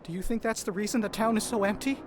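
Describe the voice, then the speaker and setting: An actor playing an earnest, compassionate doctor in a science-fiction audio drama. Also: male voice, high-pitched, steady tone, quick pace, background noise, natural voice. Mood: worried, urgent. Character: earnest grieving male doctor, cautious and compassionate sci-fi traveller.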